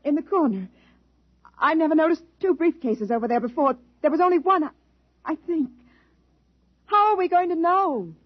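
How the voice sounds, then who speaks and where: A woman's voice: high-pitched, expressive, moderate pace, long pauses. A woman acting as an earnest, worried officer at a space base in a 1950s radio drama. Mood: fearful. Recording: dull, dry 1950s radio recording.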